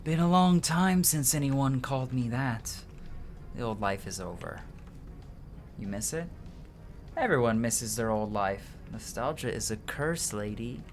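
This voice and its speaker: A man's voice: medium pitch, expressive, unhurried, soft background music. A man doing casual let's-play commentary, informal and sweary, reading game dialogue aloud as he plays. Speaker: game streamer.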